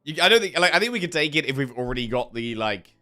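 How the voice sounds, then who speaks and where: A man's voice: fairly high pitch, sing-song, fast talker, bright, forceful tone. A man with a sore throat giving casual gameplay commentary as a streamer, thinking aloud through his choices. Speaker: game streamer.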